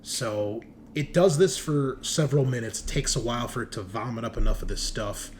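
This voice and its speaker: A man's voice: mid-range voice, normal speed. A man narrating a tabletop horror game as game master, in a conversational way. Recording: noticeable background noise.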